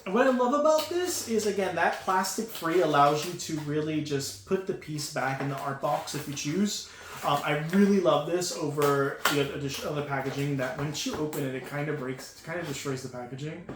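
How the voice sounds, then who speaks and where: A man's voice: fairly high pitch, a little fast, a bit bright. A man giving casual, enthusiastic unboxing commentary, gushing over each piece with lots of fillers. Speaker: toy reviewer. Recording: echoey room with faint hum.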